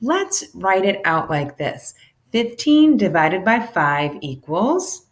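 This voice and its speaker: A woman, a teacher, reading a children's book aloud in an expressive, animated way that acts out the dialogue. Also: mid-range voice, slow pace.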